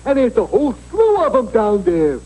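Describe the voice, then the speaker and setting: High, husky, dim giant boy. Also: huge pitch swings, normal speed, mellow, heavily acted, rough recording. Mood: naive. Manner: naive, meek. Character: dim, obedient young giant son. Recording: old TV broadcast tape.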